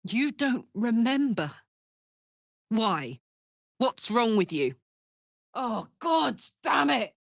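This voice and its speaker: A woman playing a brash, warm-hearted tough warrior in a video game, blunt and sweary with a casual swagger and earnest feeling. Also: a little high, big pitch swings, extremely slow, vocal fry, pauses often, no echo, studio quality, exaggerated voice. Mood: shouting, fierce. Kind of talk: video game character voice lines.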